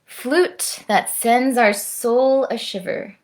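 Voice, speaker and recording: A woman, around her thirties, speaking to young children in an expressive storytime style, slowly and directly, recorded at home on a device microphone. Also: on the high side. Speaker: storytime presenter, orchestra musician. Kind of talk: children's read-aloud and friendly chat to camera. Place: at home, indoors.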